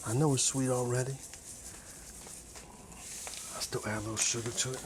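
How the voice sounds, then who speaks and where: A man's voice: mid-range voice, steady tone, normal speed, slight background noise. A man chatting casually in an ASMR cook-along style as he cooks, playfully repeating and drawing out his words. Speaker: host chatting while cooking. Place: home kitchen, indoors.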